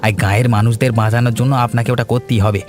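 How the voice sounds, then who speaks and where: Cartoon folk tale: shifty, cowardly village schemer male actor, rapid whiny bluster, music behind. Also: medium pitch, flat delivery, a little mellow, lightly acted. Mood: scheming, wheedling. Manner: blustering, whiny, stammers when cornered. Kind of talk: animated folk tale voice acting.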